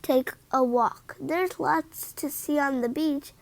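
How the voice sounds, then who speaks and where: A woman voicing a caring, encouraging mother cat in a children's storybook, gently coaxing. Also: high-pitched, slow, creaky, full character voice.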